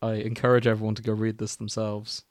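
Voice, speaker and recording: A man's voice: lowish voice, monotone, fast talker, no room sound, studio quality. A man hosting a casual comedy chat with friends in a wry, jokey way. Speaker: podcast host.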